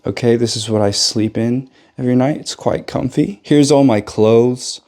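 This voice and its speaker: Young male car vlogger, slightly sick and hoarse, loose joking delivery, handheld camera. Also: medium pitch, moderate pace, darkish tone. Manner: loose and joking, addresses viewers as guys. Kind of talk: casual vlog chat. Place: home bedroom, then cold outdoor garage.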